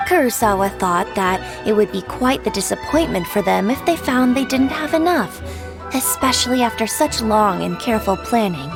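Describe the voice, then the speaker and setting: A woman's voice: fairly high pitch, lively intonation, moderate pace, vocal fry. A woman playing a polite, composed and shrewd grocery shopkeeper in a video game, courteous and explanatory in manner. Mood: explaining. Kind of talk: video game dialogue acting. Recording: faint music behind the voice.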